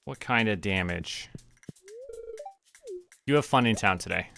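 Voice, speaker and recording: Man, lowish bright voice, casual game streamer, muttering to himself, light music behind. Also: a little slow, gravelly. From Canada. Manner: talks to himself while playing. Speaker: streamer playing a farming game.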